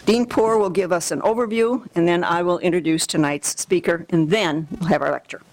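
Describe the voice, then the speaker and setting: A woman introducing a lecture series, reading a formal, measured prepared introduction in a lecture hall. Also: lowish voice.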